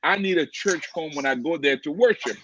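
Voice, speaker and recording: A man from Cameroon sharing a personal faith testimony as a podcast guest, animated, stumbling over repeated words and laughing often. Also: fairly high pitch, brisk, a little hiss or hum. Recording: dry, slightly dull lo-fi audio.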